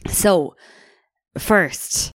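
A woman's voice: extremely slow, no echo, rough recording. A young woman co-hosting a podcast, telling stories in chatty, giggly casual talk. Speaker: podcast co-host. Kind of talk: casual chat.

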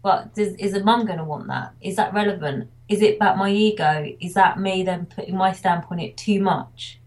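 A woman's voice: mid-range voice, moderate pace, a little mellow. A woman from England, a wedding photographer, talking casually in an interview and rambling with many restarts.

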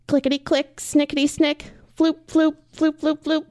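A woman's voice: sits high, level tone, moderate pace, mellow, no room sound, clean studio sound, natural voice. A woman voicing a stubborn but caring female porcupine in a children's storybook, exclamatory and emphatic.

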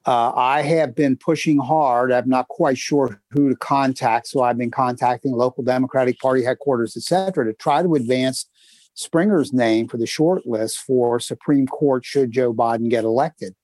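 A man co-hosting a casual talk show, speaking in a halting, good-humored way over a video call. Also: mid-range voice, darkish tone, no echo. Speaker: co-host.

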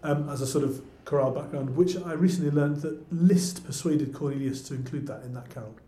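A man's voice: a little high, a little fast, dark tone. Hesitant explaining man, echoey room. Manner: hesitant, explanatory, many restarts. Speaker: podcast co-host. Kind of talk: casual chat about choral music. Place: office room.

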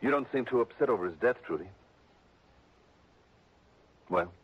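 An actor playing a wry, probing investigator who also narrates, in a 1960s radio drama. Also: male voice, mid-range voice, expressive, moderate pace, husky. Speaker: lead and narrator. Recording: dull lo-fi old radio broadcast.

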